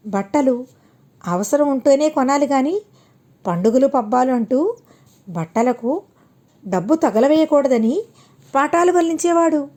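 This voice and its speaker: A woman storyteller reads a scripted moral tale for an audiobook. Her voice sits high, with a mellow, rounded tone that has little edge to it. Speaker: storyteller and channel host.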